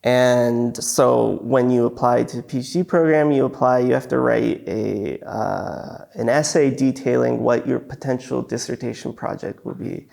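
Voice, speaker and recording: A young man from California, a guest on a casual podcast, talking in a relaxed, thoughtful way with frequent ums. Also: mid-range voice, normal speed, vocal fry, few pauses. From San Diego, California. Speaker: guest, PhD student.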